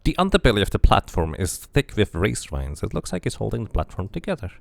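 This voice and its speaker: A man's voice: on the low side, wildly swooping pitch, quick pace, vocal fry, no echo. A man doing casual playthrough commentary, musing to himself and reading game text aloud. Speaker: video game streamer.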